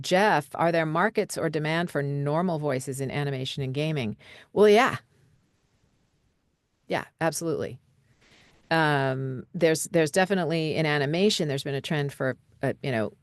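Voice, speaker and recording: A woman voiceover coach answering questions live over a video call, relaxed and conversational, with frequent fillers and light laughs. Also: sits low, dry recording, studio quality.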